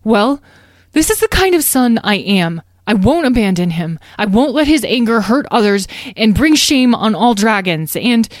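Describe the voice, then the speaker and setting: An earnest, tender young boy dragon, played by a woman. The storybook voice is high and pleading, a little hesitant, in a dry, close recording. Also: no room sound.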